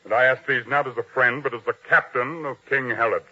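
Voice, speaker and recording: Forceful, proud Roman-era palace captain. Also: male voice, mid-range voice, fairly even intonation, fairly quick. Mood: stern, interrogating. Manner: commanding, taunting, self-important. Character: proud, domineering male captain of King Herod's guard. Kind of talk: old-time radio drama acting. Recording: dull, lo-fi old radio sound.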